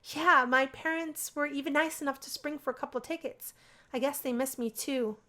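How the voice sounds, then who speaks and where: A woman's voice: high-pitched, normal speed, sharp tone. A woman playing an earnest young female college student in a visual novel, speaking hesitantly and sincerely. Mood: friendly, thoughtful.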